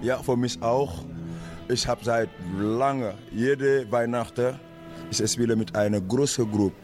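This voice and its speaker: A man from Senegal, a native Wolof speaker, interviewed as a drummer, speaking haltingly and searching for words. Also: mid-range voice, fairly even intonation, a little mellow, faint music behind. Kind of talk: casual interview.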